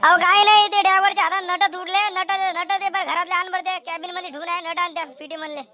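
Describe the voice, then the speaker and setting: Woman playing a squeaky, grumbling Vidarbha farmer. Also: fairly even intonation, fast talker, crowd noise. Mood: bossy calling. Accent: Vidarbha Marathi. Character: grumbling, bossy male village farmer with a tractor. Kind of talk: homemade rural comedy cartoon dialogue.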